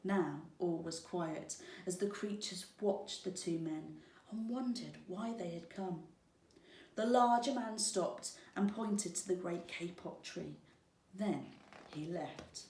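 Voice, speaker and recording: Female storybook narrator, teacherly, deliberate unhurried pace, distant roomy recording. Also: fairly low pitch, a little hiss or hum. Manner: deliberate, expressive read-aloud. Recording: roomy, echoing, distant microphone.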